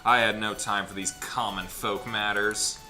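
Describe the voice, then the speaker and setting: A man's voice: fairly low pitch, little pitch movement, a little slow. A man hosting a casual group let's play, reading the game's text aloud and chatting in a jokey way. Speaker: gaming channel host reading a visual novel aloud. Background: game music behind the voice.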